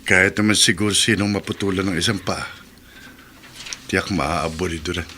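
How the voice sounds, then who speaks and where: A man's voice: deep voice, fairly even intonation, normal speed, bright, forceful tone, a little hiss or hum. An actor in a radio drama playing a polite young soldier who speaks respectfully to an older woman. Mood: earnest. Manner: respectful, earnest.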